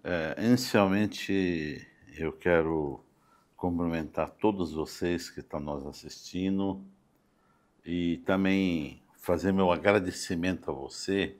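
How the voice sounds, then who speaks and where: An older Brazilian man speaking as a guest in a conversational podcast interview, halting and often adding small check-in tags. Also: sits low. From Campo Grande, Brazil. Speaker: interview guest, doctor and city councillor. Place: podcast studio.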